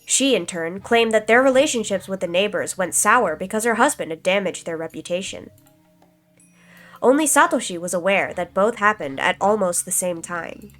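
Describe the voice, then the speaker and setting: A woman with a stuffy, slightly congested voice reads a visual novel aloud at a calm, even pace, with casual asides to her viewers. Her pitch sits in an ordinary middle range. Soft background music plays faintly behind her. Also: normal speed. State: stuffy nose, slight cough. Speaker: streamer.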